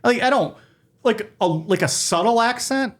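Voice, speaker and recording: A man's voice: very high-pitched, expressive, normal speed. Joking, ranting man from Ohio. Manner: joking, ranting, laughs often. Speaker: podcast host. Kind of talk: casual chat.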